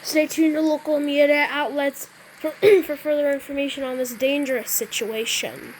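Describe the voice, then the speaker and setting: High-pitched woman playing out a scenario. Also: fairly even intonation, normal speed, a little hiss or hum, rough recording. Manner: stumbles over read-out wording, often clears throat. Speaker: gamer playing out an emergency alert scenario. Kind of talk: casual gameplay role-play with improvised mock weather bulletins.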